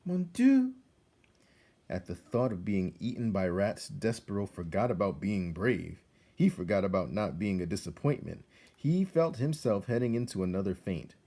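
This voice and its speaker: A man reading a children's story aloud as narrator, expressive and measured, with dramatic delivery. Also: deep voice. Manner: measured, dramatic, addresses the listener.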